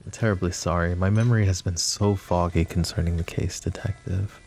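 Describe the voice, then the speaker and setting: A man playing a suave, possessive mafia boss in an intimate roleplay, speaking with mock courtesy and a teasing drawl in a low, gravelly voice. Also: fairly even intonation, fairly quick, a little mellow, soft background music. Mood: flirty, smug. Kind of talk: roleplay ASMR acting, intimate address to listener.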